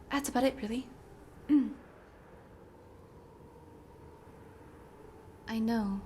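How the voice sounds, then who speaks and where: A young woman playing an earnest, sweet runaway princess in a video game, with a soft, sometimes breathy delivery. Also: fairly high pitch, slight background noise, natural voice. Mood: embarrassed. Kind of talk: video game character dialogue.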